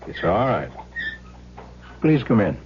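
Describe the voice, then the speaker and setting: A man's voice: fairly low pitch, sing-song, takes it slowly. A man playing a stern, methodical police lieutenant in a 1970s radio drama, firm and pressing. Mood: firm, courteous. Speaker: actor. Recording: dull, noisy old radio recording.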